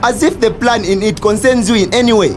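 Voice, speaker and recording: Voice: mid-range voice, brisk, natural voice. Actor playing a questioning Zambian commuter over music. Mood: sceptical. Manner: casual, questioning. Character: sceptical, uninformed commuter asking questions. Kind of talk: acted audio drama dialogue.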